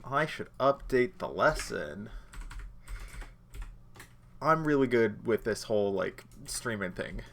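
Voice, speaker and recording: A man with a slightly nasal voice from being under the weather, teaching a livestreamed lesson in a relaxed, chatty way, talking straight to his viewers. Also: lowish voice, slow, creaky, long pauses, slight background noise. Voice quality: a bit nasal. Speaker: judge training instructor.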